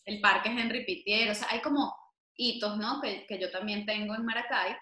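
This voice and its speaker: A woman with a bright, forward voice hosts an interview, asking in a lively, familiar way with plenty of fillers. Her voice carries a roomy echo. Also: mid-range voice, moderate pace. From Venezuela. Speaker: interviewer, host. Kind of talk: conversational interview.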